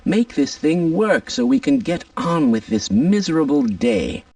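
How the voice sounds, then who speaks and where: Sour, pompous, animated scientist. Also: male voice, a little high, a little slow, vocal fry, no echo, far from the mic, heavily acted. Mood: irritated, scornful. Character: grumpy, condescending male scientist.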